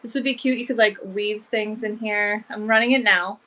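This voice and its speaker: A woman's voice: mid-range voice, steady tone, moderate pace, sharp tone, lo-fi sound. A woman with a sniffly, allergy-stuffed voice hosting a casual live sale from home, chatting along with lots of "um" and "like.". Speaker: online vintage seller.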